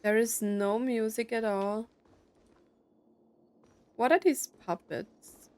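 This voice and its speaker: A woman's voice: fairly high pitch, fairly even intonation, slow pace, darkish tone. A young adult woman giving casual let's play commentary, musing aloud with jokey asides. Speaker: gaming streamer.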